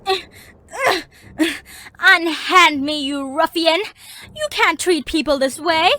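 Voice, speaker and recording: A woman's voice: very high voice, slow pace, pushed and bright, exaggerated voice. A woman acting feisty teenage heroines in a fan fiction audio drama, spirited and theatrical. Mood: indignant, confused. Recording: faint music behind the voice.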